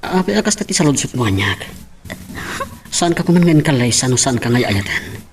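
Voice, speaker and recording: A man's voice: mid-range voice, sing-song, normal speed, noisy background. A man with an Ilocano Filipino accent playing a weary, evasive young husband in an audio drama. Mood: evasive, irritated.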